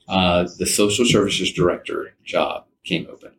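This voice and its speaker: Low-voiced man telling stories in an interview. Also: steady tone, normal speed, a bit bright, rough recording. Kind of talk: informal interview storytelling. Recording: background audio noise behind voice. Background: faint background audio.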